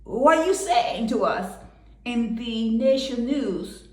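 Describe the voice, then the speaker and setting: An indignant woman holds forth alone, pressing one rhetorical question after another. Her voice sits fairly high and she takes her time, letting each word land slowly. The sound is roomy and echoey. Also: animated, a little mellow. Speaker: commentator. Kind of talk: impassioned solo commentary.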